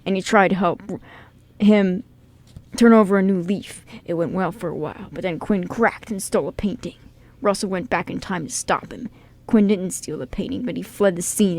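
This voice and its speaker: A woman's voice: mid-range voice, a little mellow. A woman hosting a radio show, moving between scripted introduction and casual chat.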